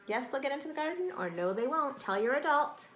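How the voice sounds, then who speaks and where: A woman in her thirties or forties reads a children's storybook expressively, with deliberate dramatic emphasis, speaking directly to young listeners. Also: sits high, lively intonation, a bit bright. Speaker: teacher reading a picture book aloud to children. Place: small indoor room. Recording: roomy echo, faint noise.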